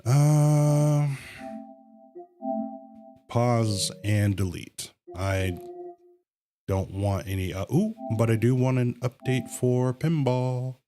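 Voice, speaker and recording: A man's voice: medium pitch, expressive, drawn-out words, long pauses, mellow, faint music behind. A man livestreaming a video game with casual, slangy commentary. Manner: slangy, informal. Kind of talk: casual game stream commentary, reading dialogue aloud.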